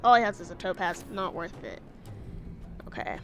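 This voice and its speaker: A woman narrating her gameplay in casual commentary, her pitch swinging widely with a gravelly fry at the ends of phrases. She chats along, exclaims when things go wrong, and makes wry, self-mocking asides. Game music plays clearly behind her. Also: big pitch swings, moderate pace. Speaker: gamer. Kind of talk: casual let's-play commentary.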